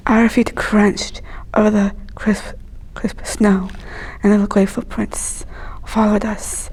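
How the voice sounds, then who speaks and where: Breathy, husky woman reading a story slowly. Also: medium pitch, flat delivery, darkish tone, low-quality recording. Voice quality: breathy, whispery. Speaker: storyteller reading a picture book aloud. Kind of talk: slow, hushed read-aloud storytelling.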